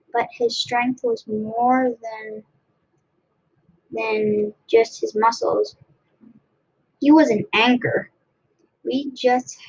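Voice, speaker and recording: A woman narrates a storybook in a fairly high voice with a lot of creak and a slightly husky edge. Her reading is halting, with restarted phrases. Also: dull audio. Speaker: story reader. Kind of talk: read-aloud storybook narration.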